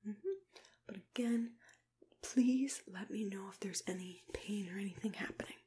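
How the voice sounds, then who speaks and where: Whispering young woman in gentle ASMR roleplay. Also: mid-range voice, normal speed. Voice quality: whispered, breathy. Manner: gentle, reassuring, murmuring step-by-step commentary. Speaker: ASMR roleplay performer playing a dentist. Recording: clear background noise with clicking tool sounds. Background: noise, clicks of dental tools.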